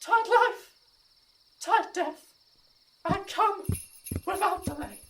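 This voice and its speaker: Girlish lovelorn maiden, extremely high, slow exaggerated stage verse, faintly noisy distant recording. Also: exaggerated voice. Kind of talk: mock-romantic Shakespearean verse.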